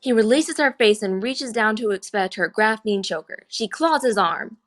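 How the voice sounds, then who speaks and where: A woman serves as narrator, reading out screenplay stage directions. Her voice sits at a medium pitch as she delivers the scripted action. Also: lively intonation, moderate pace.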